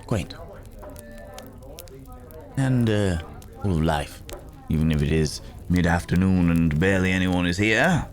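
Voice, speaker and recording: A man playing a weary, philosophical werewolf on the run, musing hesitantly in an intimate ASMR roleplay. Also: low-pitched. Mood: wry, relieved. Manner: musing, hesitant, speaking in unhurried broken phrases. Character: weary, philosophical male werewolf, a hunted wandering companion.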